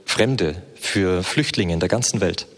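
Male sermon interpreter, lowish voice, fluent with hesitation fillers, long hall reverb. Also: unhurried.

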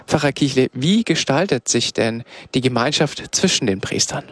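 A man's voice: medium pitch, expressive, moderate pace, a bit bright, slightly rough, dry recording. A man working as a radio interviewer, presenting and asking questions politely.

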